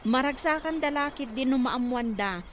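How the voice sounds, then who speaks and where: A woman's voice: sits high, normal speed, natural voice. A woman with a Filipino accent playing an adult daughter working abroad in a conversational audio drama. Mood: explaining, earnest. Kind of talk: conversational Ilocano radio drama acting. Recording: faint background noise.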